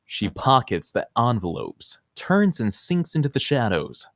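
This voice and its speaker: Animated male narrator reading screenplay directions. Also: medium pitch, unhurried, no echo, clean studio sound. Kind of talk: scripted narration at screenplay table read.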